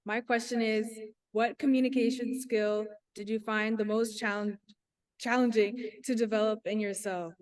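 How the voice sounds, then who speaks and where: A woman's voice: on the high side, fairly even intonation, moderate pace, a little mellow. A young woman from Queens, a student introducing herself and asking a question. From Queens, New York, US. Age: young adult.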